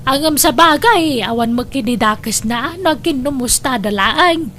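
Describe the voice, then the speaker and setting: High-pitched gossipy Ilocano neighbour woman acted. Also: animated, a little slow, a bit bright, slight background noise. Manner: gossipy, insinuating. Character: gossipy female neighbour, nosy and insinuating. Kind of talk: audio drama acting.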